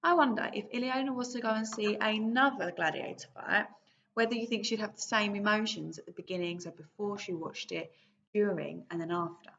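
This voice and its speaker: A woman teacher reads a story aloud for her class, slow and clear, and pauses to pose questions to the children. Her voice sits at an ordinary middle pitch. The recording sounds a bit muffled and lo-fi, with a light hiss behind it. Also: fairly bright tone. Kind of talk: reading a book aloud to pupils in a video lesson.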